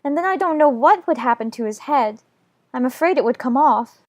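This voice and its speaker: A man voicing a polite, earnest seven-year-old girl who speaks gently. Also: very high-pitched, lively intonation, moderate pace, no echo, voice pushed up. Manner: gentle, polite, a bit anxious.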